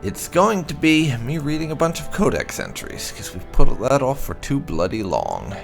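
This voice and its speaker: A man hosting a gaming video, speaking in a halting, deliberate way with drawn-out emphasis. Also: medium pitch, big pitch swings, creaky, faint music behind. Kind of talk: casual let's-play commentary.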